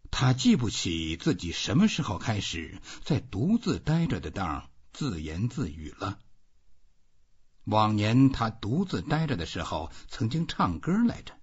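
Male literary narrator, lowish and very raspy, expressive delivery, muffled audio. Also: measured pace. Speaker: audiobook reader.

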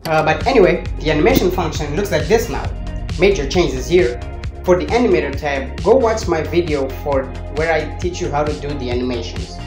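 A man's voice: a little high, expressive, brisk. A young man hosting a scripted coding tutorial, explaining step by step in a playful manner into a handheld microphone. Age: young adult. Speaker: tutorial host. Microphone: handheld microphone with furry windscreen. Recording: roomy echo, music underneath. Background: background music.

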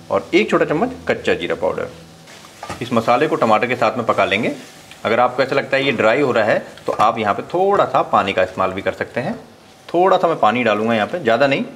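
Guy talking thru a recipe, mid pitch, calm and steady, a bit of sizzle in the back. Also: a little hiss or hum. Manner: calm step-by-step instruction with practical tips. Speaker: cooking show host. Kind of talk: recipe voice-over narration.

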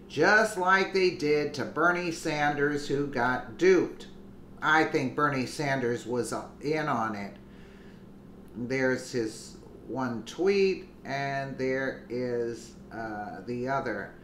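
A commentator reading a news article aloud with deliberate delivery and casual asides. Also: mid-range voice, fairly bright tone, reverberant, a little hiss or hum.

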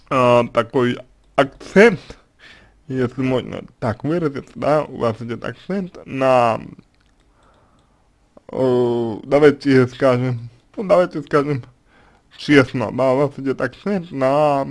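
A middle-aged man offers unhurried advice in a plain mid-pitched voice. He talks slowly, searching for words with frequent fillers and self-corrections, circling back to rephrase. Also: a little mellow. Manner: slow, hesitant, many fillers and restarts. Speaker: psychologist answering a question. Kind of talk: spoken advice monologue.

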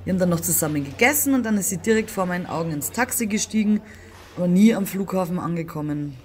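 A woman acts out a young businesswoman daughter in a crime audio drama. Her voice is a little low, composed and matter-of-fact, with faint ambient noise behind. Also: animated, fast talker, darkish tone, close to an everyday voice. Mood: composed, dismissive.

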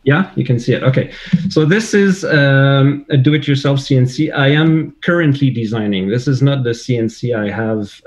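A man's voice: mid-range voice, darkish tone. A man from Quebec whose first language is French, speaking English with a Québécois French accent as a guest on a video call, hesitant and searching for words with many fillers. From Quebec, Canada. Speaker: guest, hobbyist maker. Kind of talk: casual video-call chat. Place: home room with couch and shelves. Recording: dull lo-fi video call audio.

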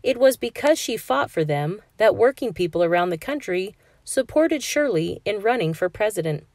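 A woman narrating a children's picture book aloud in a clear, measured storytelling manner for a classroom. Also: medium pitch, lively intonation, a little mellow, no room sound, studio quality.

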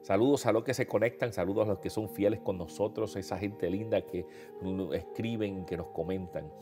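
A man in his fifties with a Puerto Rican accent speaks to his online flock, his voice nasal and congested after a nose procedure. He talks in a warm, rambling way, calling listeners beloved, in a fairly low voice. Music plays clearly underneath him. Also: fairly even intonation, normal speed. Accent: Puerto Rican Spanish. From Puerto Rico. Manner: warm, conversational, addresses viewers as beloved, frequent fillers. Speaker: pastor hosting an online devotional show. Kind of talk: casual devotional talk to viewers. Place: indoors before a holiday backdrop.

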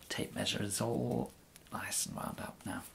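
A young man with an English accent softly whispering an ASMR roleplay as a polite, hesitant gentleman tailor. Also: lowish voice, steady tone, measured pace, creaky. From England. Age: young adult. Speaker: ASMR performer playing a tailor.

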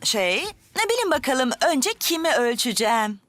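A woman's voice: high voice, very animated, normal speed, over loud music. A woman voicing a cheerful young mother in a dubbed cartoon, upbeat and full of praise.

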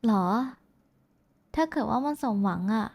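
A woman performs a young woman in an intimate audio roleplay, with a voice pitched a bit high. She is bashful and affectionate, chatting casually to someone she likes. Her teasing comes with small hesitations. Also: expressive, a little slow, vocal fry, darkish tone, exaggerated voice. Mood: tender, teasing. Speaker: VTuber voice actress. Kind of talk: intimate roleplay voice pack addressed to the listener.

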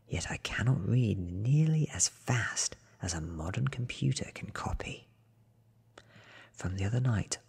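A man in his thirties softly reading a story ASMR style in a breathy whisper, close to the microphone. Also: fairly low pitch. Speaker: ASMR book reader. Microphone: close studio microphone.

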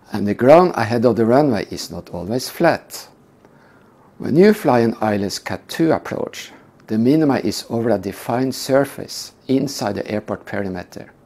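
An older man calmly teaching a scripted lesson on flying approaches. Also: fairly low pitch. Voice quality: calm, measured explanation. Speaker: flight instructor. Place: indoor room.

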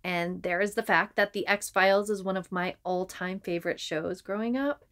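A woman's voice: mid-range voice, steady tone, moderate pace, fairly bright tone. Woman co-hosting a conversational podcast. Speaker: podcast co-host and writer.

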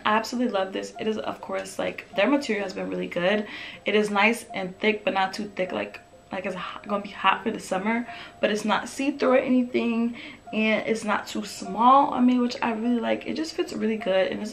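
A young woman with a slightly raspy voice, chatting upbeat and casual through a clothing try-on haul in her bedroom, talking to the camera up close. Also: medium pitch, steady tone, brisk. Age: young adult. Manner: chatty, upbeat, lots of fillers. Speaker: fashion vlogger. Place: bedroom with photo-collage wall, indoors.

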